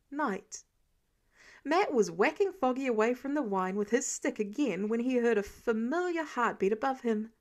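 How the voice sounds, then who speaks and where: A woman reading scripted fiction aloud as an expressive audio story narrator. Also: on the high side. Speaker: podfic narrator.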